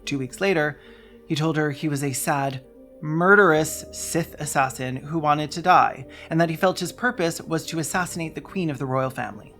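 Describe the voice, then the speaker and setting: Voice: medium pitch, normal speed, fairly bright tone, soft background music. A video essayist narrating a script in a sardonic, theatrical way with dry humour.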